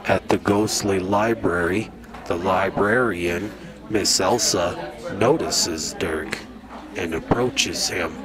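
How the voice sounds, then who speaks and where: A man narrating a children's story in radio drama style, deliberately and dramatically. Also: deep voice, a crowd in the background. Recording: noisy ambient sound effects behind.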